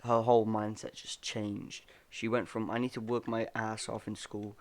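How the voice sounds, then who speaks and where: A man's voice: fairly low pitch, level tone, normal speed. A young man hosting a casual podcast chat, rambling and laughing as he talks, full of "bro" and "like". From Wales. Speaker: podcast host.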